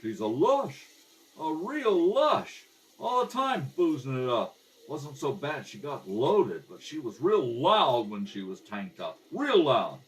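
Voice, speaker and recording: A slightly distant recording of a man playing an evasive ex-con in a radio crime drama. His pitch swings widely as he bristles under police questioning, defensive and slippery. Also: big pitch swings, slow. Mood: scornful. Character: defensive, evasive male ex-convict fry cook under questioning. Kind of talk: amateur 1950s radio crime drama acting. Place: at a kitchen table. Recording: slightly distant home microphone.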